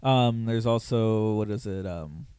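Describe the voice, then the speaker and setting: Hoarse man hosting a casual podcast. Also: on the low side, measured pace, mellow, dry recording, clean studio sound. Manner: rambling, loose and profane, with lots of fillers and laughs. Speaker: podcast host. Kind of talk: casual chat.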